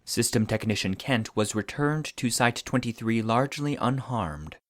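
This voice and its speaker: A man's voice: mid-range voice, moderate pace, fairly bright tone, no echo, clean studio sound. A man reading scripted fictional case files as a narrator, in a formal, clinical report manner.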